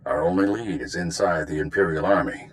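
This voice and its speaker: An actor playing a curt, secretive intelligence spymaster in a video game, briefing in a stern, matter-of-fact way. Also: male voice, low voice, a little fast, slight background noise, close to an everyday voice. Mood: businesslike. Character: curt, secretive Republic intelligence spymaster man giving orders. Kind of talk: dramatic video game voice acting.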